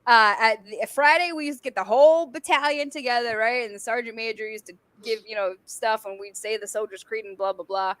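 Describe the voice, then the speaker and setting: A woman from Massachusetts whose first language is Portuguese, speaking with a Boston accent. She tells stories in a lively, casual way with swearing and laughter. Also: a little high, a little fast, few pauses, no echo. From Massachusetts and the Azores. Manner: animated storytelling, frequent laughter and swearing. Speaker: guest, military veteran. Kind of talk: casual chat.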